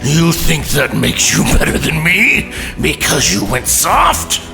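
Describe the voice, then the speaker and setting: A man playing a savage, sadistic mutant villain in an audio drama, taunting with a snarling, menacing rasp. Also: on the high side, wildly swooping pitch, exaggerated voice. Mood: mocking. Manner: menacing, mocking, drawn-out. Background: dramatic music.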